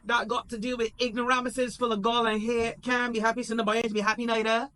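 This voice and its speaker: A man reading aloud, voicing an indignant man who shouts in a high voice. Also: moderate pace. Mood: ranting. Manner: high-pitched, shouting, dramatic.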